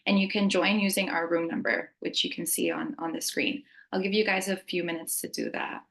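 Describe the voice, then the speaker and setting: A woman's voice: lowish voice, fairly even intonation, brisk, creaky, rough recording. A young woman from Lebanon co-hosting a webinar over a video call, welcoming people and explaining the plan with a few filler ums. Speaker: webinar co-host. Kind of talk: friendly webinar presentation. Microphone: video call laptop mic.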